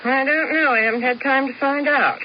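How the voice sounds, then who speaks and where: A woman's voice: sits high, fairly quick, lightly acted. An actress playing a jaded, aloof middle-aged woman in a radio drama, with a dry, sarcastic manner. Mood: curt, dry. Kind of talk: old-time radio drama acting. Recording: muffled, noisy 1970s radio broadcast.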